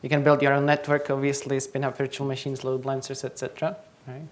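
A man's voice: mid-range voice, monotone, fast. Young Czech man giving a tech talk. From Czech Republic. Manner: quizzing the audience. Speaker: conference speaker. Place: large lecture hall, on stage.

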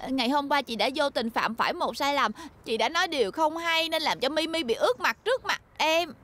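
A woman playing a brash, excitable little girl, a protective big sister, in an exaggerated cartoon dub with a southern Vietnamese accent. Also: high-pitched, a little fast, slight background noise. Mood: sheepish. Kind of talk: animated cartoon dub acting.

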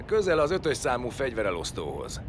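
An actor playing a cocky, scheming prisoner in a sci-fi audio drama, sardonic, defiant and foul-mouthed. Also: male voice, mid-range voice, wildly swooping pitch, slight background noise. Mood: eager. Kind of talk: science fiction audio drama acting.

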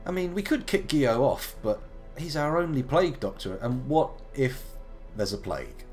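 A man in his thirties, gravelly from a slight cold, chatting playfully through a live game stream. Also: mid-range voice, very animated, normal speed, darkish tone, faint music behind. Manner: chatty, playful, wandering asides. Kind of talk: casual live game commentary.

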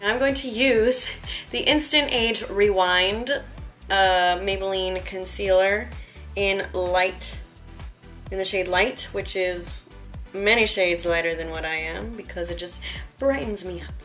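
A young woman talks through her makeup routine with a slightly raspy, worn-out voice. Her delivery is chatty and self-deprecating, with little asides as she applies products. She is recorded indoors in her bedroom on a camera close in front of her, with faint music underneath. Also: medium pitch, fairly even intonation, unhurried, fairly bright tone. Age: young adult. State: tired. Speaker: beauty vlogger. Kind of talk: casual makeup tutorial. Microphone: camera in front at close range.

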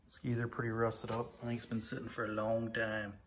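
A man with an average, everyday pitch talks casually and rambles as he walks around a machine in his garage. He keeps saying "like I say". It is a handheld phone recording with faint background noise. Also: completely flat delivery, fairly quick, a bit bright. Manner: plain-spoken, rambling, repeats "like I say". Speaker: hobbyist restoring an old snowmobile. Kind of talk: casual walkaround commentary. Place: garage workshop. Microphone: handheld phone camera.

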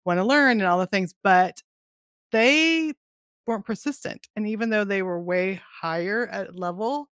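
A middle-aged woman hosting a casual interview from a home office, talking into a headset mic. She sounds encouraging and affirming, and her voice sits at a middling pitch. The sound is close and dry. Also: normal speed, fairly bright tone, clear, resonant tone, no echo. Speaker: podcast host. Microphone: headset with desk microphone, video call.

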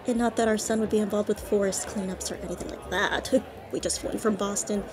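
A woman's voice: medium pitch, fairly even intonation, fast talker, natural voice. A woman playing a middle-aged, wealthy, gushing and condescending society wife in a fiction podcast. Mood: gushing, smug. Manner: gushing, condescending, self-absorbed. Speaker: supporting character. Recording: busy crowd chatter behind.

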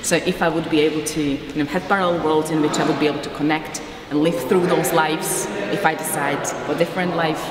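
A woman's voice: sits low, fairly even intonation, fast talker, few pauses, over a noisy crowd. A woman in her thirties giving a casual interview as a guest, rambling and searching for words. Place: large concrete gallery hall indoors. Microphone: distant camera microphone. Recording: echoey hall, distant mic, loud background noise.

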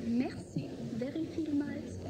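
High-voiced, pert teenage girl. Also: slow pace, heavy background noise. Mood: playful, pert. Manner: playful, pert. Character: pert, naive nineteen-year-old daughter. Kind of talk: radio drama acting. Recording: dull sound, clear background ambience.

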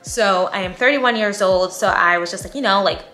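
A woman, chatting in a bubbly, talkative way as she vlogs to camera in a bright room at home. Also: mid-range voice, moderate pace, roomy sound, faint music behind, rough recording. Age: 30s. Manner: bubbly, chatty, talks with her hands. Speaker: lifestyle vlogger. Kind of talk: casual talk to camera.

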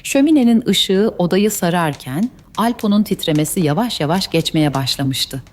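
A woman with a slightly low voice narrates a scripted tale for young children. She is the storyteller, and faint noise sits behind her. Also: animated, moderate pace.

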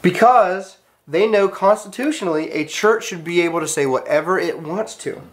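A man's voice: high-pitched, moderate pace. An American man teaching a class, giving a storytelling lecture in an earnest, emphatic manner with short declarative sentences.

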